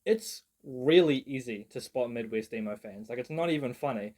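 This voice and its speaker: A young New man talks through a music genre in a loose, rambling vlog style. He mocks himself, swears freely and leans on "like" as a filler. His pitch sits right in the middle for a man. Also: lively intonation, moderate pace, darkish tone. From New Zealand. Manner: rambling, self-mocking, swears, many "like" fillers. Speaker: video creator. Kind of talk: casual genre breakdown.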